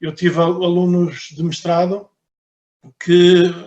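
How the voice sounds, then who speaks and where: Researcher, male, European Portuguese accent, slightly high voice, hesitant, roomy sound. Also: flat delivery, takes it slowly, a little mellow, lo-fi sound. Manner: hesitant, repeats words while thinking. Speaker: researcher giving a conference talk. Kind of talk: conference presentation and Q&A.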